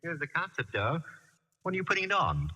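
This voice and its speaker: A flamboyant, fussy friend of a detective in a vintage 1940s radio play. His theatrical, exclamatory delivery swings widely in pitch, with a husky edge. He sounds easily rattled and jittery. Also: huge pitch swings, fairly quick, sharp tone, full character voice, poor audio. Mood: wary, complaining.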